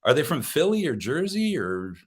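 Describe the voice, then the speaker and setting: A man's voice: a little high, big pitch swings, moderate pace, slightly rough. A man chatting casually as a guest, rambling, joking and often cutting himself off. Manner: rambling, self-interrupting, joking. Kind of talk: casual chat about concerts.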